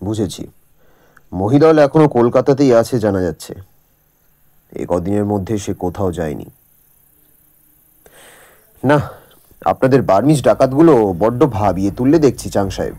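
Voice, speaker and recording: A man's voice: lowish voice, expressive, measured pace, pauses often. A man playing a hearty, self-assured middle-aged detective in a radio drama, given to theatrical, familiar talk. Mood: musing. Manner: loud greetings, ponderous musing. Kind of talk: Bengali radio drama acting.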